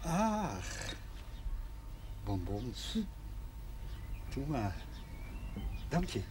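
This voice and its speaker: An actor playing a gentle, modest older retired schoolteacher in a naturalistic seventies television drama, hesitant but earnest. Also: male voice, medium pitch, very animated, extremely slow, background noise. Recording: 1970s television soundtrack with music.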